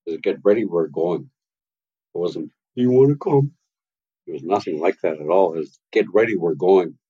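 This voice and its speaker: An older man, an elder and carver, speaking as an interview guest in a grave, measured way. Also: sits low, expressive, mellow, breathy edge, lo-fi sound. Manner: grave, measured, plain-spoken. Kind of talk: slow reflective storytelling.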